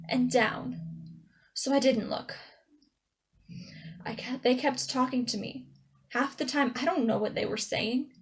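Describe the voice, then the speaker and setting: A traumatized young woman, played by a woman tells her story as a theater monologue. Her voice is fairly high, and her delivery is emotional and halting, with dramatic pauses. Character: traumatized young woman recounting a childhood dog attack.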